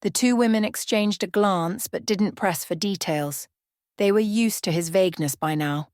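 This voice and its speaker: A woman's voice: medium pitch, normal speed, a little mellow, dry recording, studio quality. A woman reading a scripted bedtime story as a storyteller in an ASMR style.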